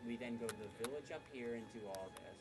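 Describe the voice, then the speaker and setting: A man's voice: medium pitch, quick pace, very noisy. A man streaming a video game and chatting casually to viewers, rambling and correcting himself as he plans his route. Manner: rambling, self-correcting, jokey. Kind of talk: casual gameplay commentary.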